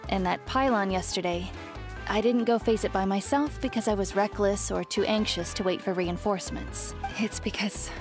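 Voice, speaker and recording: A gentle, reflective warrior woman, played by an actress, ailing and serene in a video game drama. She sighs as she speaks, with clearly audible music behind her. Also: medium pitch, lightly acted. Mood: wistful confession. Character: ailing, serene veteran female warrior at peace with death.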